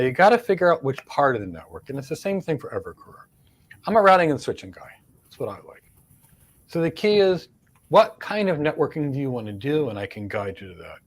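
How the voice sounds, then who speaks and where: A middle-aged man with a Philadelphia accent, hosting a live career Q&A and stressing points by repeating words. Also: mid-range voice. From United States. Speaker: host, career mentor. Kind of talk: live Q&A, answering career questions.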